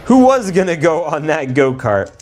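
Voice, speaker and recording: A man's voice: a little high, big pitch swings, moderate pace, noisy background. A man hosting a casual toy unboxing video with playful, exclamatory, slangy commentary. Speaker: host of a toy building video. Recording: background music under the voice.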